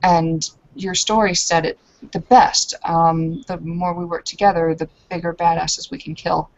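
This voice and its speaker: Woman playing an earnest, low-voiced werewolf kinswoman. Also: little pitch movement, measured pace, muffled recording. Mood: hesitant, earnest. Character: earnest, humble, formal kinfolk woman of a werewolf clan. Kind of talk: improvised in-character roleplay.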